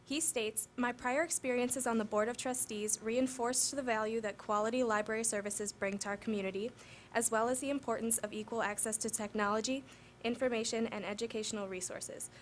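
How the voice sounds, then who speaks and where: A woman's voice: on the high side, fairly even intonation, a little fast, slight background noise. A woman reading a candidate introduction aloud.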